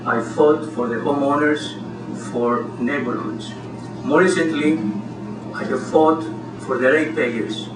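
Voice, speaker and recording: A man's voice: mid-range voice, steady tone, a little slow. A man with a Greek accent giving an earnest, impassioned campaign speech at a community meeting. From Greece. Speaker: candidate speaking at a community meeting. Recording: distant roomy hall recording with noise.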